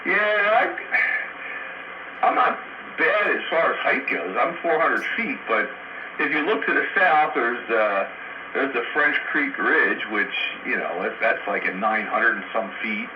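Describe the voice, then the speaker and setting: Lively man on a static-filled radio. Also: medium pitch, measured pace. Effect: two-way radio transmission. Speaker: amateur radio operator. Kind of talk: casual chat over two-way radio. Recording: muffled, very noisy two-way radio.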